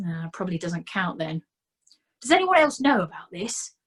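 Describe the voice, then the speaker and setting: A woman voicing a kindly, slow-witted male sergeant who fusses and cracks jokes. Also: on the low side, big pitch swings, fairly bright tone, husky, full character voice. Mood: fussing.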